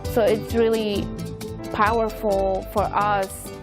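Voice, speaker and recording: A woman's voice: medium pitch, level tone, slow pace, over music. A young woman from Mexico, recounting her story in a documentary interview.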